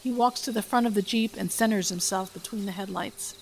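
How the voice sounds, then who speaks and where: A woman's voice: mid-range voice, fairly quick, vocal fry, slight background noise. A woman podcast host reading her own script aloud, stage directions and all. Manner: reads stage directions steadily. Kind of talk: script read-aloud narration.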